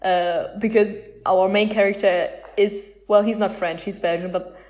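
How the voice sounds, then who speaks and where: A woman's voice: medium pitch, fairly even intonation, measured pace, darkish tone, lo-fi sound. A woman hosting a podcast, asking questions in a casual interview chat. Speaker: podcast host interviewing cast members.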